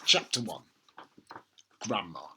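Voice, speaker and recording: Animated man reading a children's story. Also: sits low, slow pace, slight background noise. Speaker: storybook reader. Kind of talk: expressive children's book narration.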